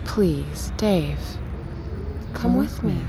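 A nightmare creature wearing a lost woman's voice in an audio drama. It pleads in a slow, creaky, luring tone through loud rumbling. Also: expressive, very noisy, exaggerated voice. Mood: pleading, sinister.

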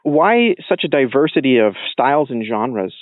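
A man's voice: medium pitch, sing-song, normal speed, no room sound. A man asking questions as an interviewer in a conversational style, on a narrow phone-like recording. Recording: thin phone-like narrow sound.

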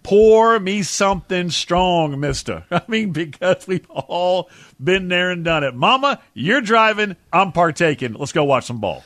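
A man's voice: high voice, expressive, unhurried, a bit bright, dry recording. A middle-aged man bantering as a sports radio host, with folksy, emphatic, slangy storytelling. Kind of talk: casual sports talk banter.

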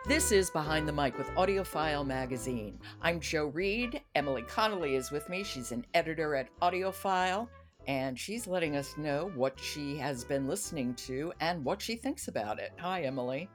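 A woman's voice: deep voice, animated, moderate pace, music in the background. A woman hosting a conversational podcast interview, introducing her guest and putting questions. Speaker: podcast host.

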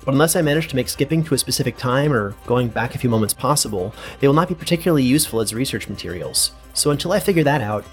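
A curious, methodical scholar played by a man records an audio log in a fantasy drama. His mid-pitched voice is formal and musing, precise in wording, with faint music underneath. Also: lively intonation, fast talker, hardly any pauses, natural voice. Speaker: researcher and narrator.

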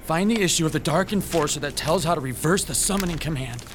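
An actor playing a timid, bullied comic shop owner, hesitant and fretful, in a radio drama. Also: male voice, a little high, quick pace, background noise, close to an everyday voice. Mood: despairing, frantic.

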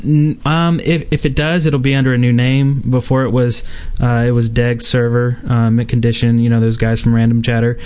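A man's voice: mid-range voice, level tone, moderate pace, few pauses, a little mellow, clean tone. A man hosting a casual podcast, reading out gaming news with many fillers and restarts. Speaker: podcast host.

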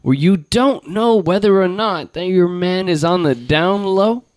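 A man's voice: high-pitched, unhurried, clear, resonant tone, dry recording, clean studio sound. A man co-hosting a podcast, rambling in casual, crude, joking chat. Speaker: podcast co-host.

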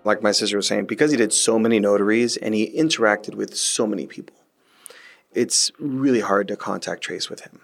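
A man giving a personal reminiscence in a halting, conversational way. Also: lowish voice, creaky. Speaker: interviewee remembering his late father. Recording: music bed behind speech.

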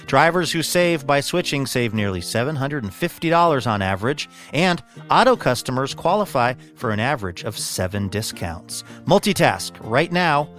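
A man's voice: medium pitch, a bit bright. American radio host, man with scratchy voice. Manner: casual, conversational. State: slightly scratchy voice, under the weather. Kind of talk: scripted radio intro, casual banter.

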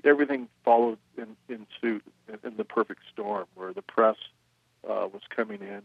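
A man who runs a record label, answering interview questions in a measured way, with frequent "you know" fillers. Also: lowish voice, no echo, narrow, tinny audio.

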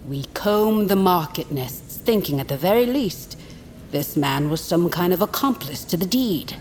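A woman playing a menacing vulture interrogator in an audio drama, speaking deliberately and theatrically with cold threat. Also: lowish voice, lively intonation, darkish tone, a little hiss or hum. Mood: sardonic, menacing. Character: menacing vulture lord, interrogator and assassin hunter.

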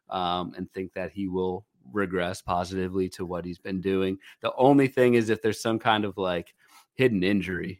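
A man's voice: lowish voice, no room sound, studio quality. A man in his thirties co-hosting a casual fantasy baseball podcast, talking through his analysis with fillers and repeated words. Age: 30s.

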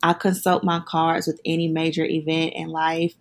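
A woman's voice: deep voice, monotone, moderate pace. A woman from South Carolina with a Southern accent, chatting casually as a guest about her tarot work, with lots of "like" and "you know". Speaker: tarot reader and Reiki healer, guest. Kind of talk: casual podcast chat.

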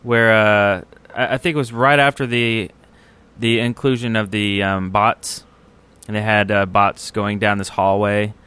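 A man's voice: lowish voice, level tone, a little slow, bright, forceful tone, clean tone. A man co-hosting a podcast in a casual, conversational style. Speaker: podcast co-host. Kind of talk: casual chat.

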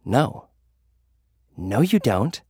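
A man's voice: mid-range voice, sing-song, extremely slow, warm tone, slightly rough, dry recording, studio quality, voice pushed up, exaggerated voice. A man voicing a cool, brash young female influencer and fighter in an audio drama, with clipped, dismissive delivery.

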